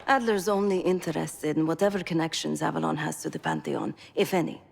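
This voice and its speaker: A self-possessed female mercenary in a game cutscene. Her voice is on the low side with a fry in it. She is dry and wry, heard in a long reverb over faint music. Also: animated, fairly quick, full character voice. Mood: dismissive, guarded. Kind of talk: video game cutscene acting.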